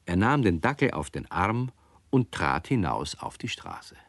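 An actor playing a lively storyteller, narrating a scripted children's radio play to young listeners. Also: male voice, sits low, measured pace, vocal fry, heavy background noise, heavily acted. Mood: amused storytelling.

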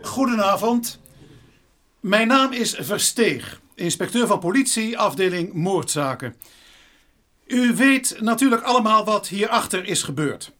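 A man playing a weary, brisk, dryly sardonic police inspector in a radio drama. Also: high-pitched, lively intonation, bright, forceful tone, heavily acted. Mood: formal, projecting. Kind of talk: Dutch radio drama acting.

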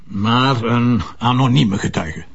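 An actor playing a headstrong, defensive police commissioner in a crime drama, with a Flemish accent and a colloquial, insistent way of talking. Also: male voice, medium pitch, slow, gravelly, slightly rough, highs cut off, exaggerated voice. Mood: matter-of-fact. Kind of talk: naturalistic TV crime drama dialogue.

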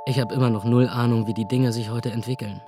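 A man's voice: medium pitch, steady tone, quick pace, over loud music, lightly acted. An actor playing a cocky, laid-back young man who talks in casual slang in a radio play.

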